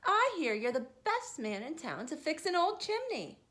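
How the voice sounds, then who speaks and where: A woman's voice: sits high, very animated, moderate pace, a bit bright, voice pushed up. A woman voicing an eager lady who speaks urgently. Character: eager lady searching for a repairman.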